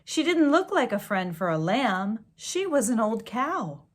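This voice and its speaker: Slow-paced woman reading a storybook. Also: on the high side. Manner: slow, deliberate, expressive storytelling. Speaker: teacher reading a storybook aloud. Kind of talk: children's storybook read-aloud with gentle asides.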